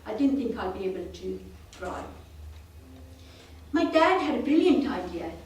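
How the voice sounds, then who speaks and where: A woman's voice: mid-range voice, big pitch swings, measured pace, darkish tone, slight background noise. A woman from Sri Lanka giving a prepared club speech, telling her story in an earnest, self-deprecating way. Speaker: club speaker. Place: meeting room. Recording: roomy hall, mic at a distance.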